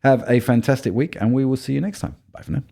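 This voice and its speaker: A man co-hosting a podcast in casual chat, his deep baritone made rougher by a cold, thinking aloud with many ums and restarts. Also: fast talker. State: voice low and rough from a cold spell. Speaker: podcast co-host.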